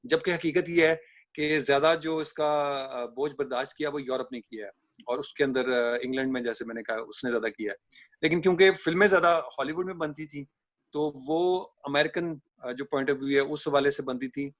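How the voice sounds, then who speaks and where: Halting Pakistani man, dry close sound. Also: mid-range voice, fairly bright tone, dry recording. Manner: halting, deliberate, pausing mid-thought. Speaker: discussion co-host. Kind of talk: explanatory film history discussion.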